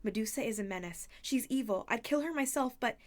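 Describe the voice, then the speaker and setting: A woman's voice: on the high side, a little fast, pitched above the performer's own voice, lightly acted. A woman voicing a brainy, bossy young girl in a storybook reading, speaking with urgent insistence. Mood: insistent. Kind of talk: audiobook character voice.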